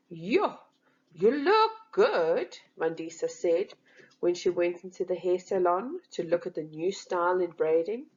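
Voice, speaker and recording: A woman reading a children's story in an expressive, deliberate way for young listeners, on a slightly dull recording. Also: fairly low pitch.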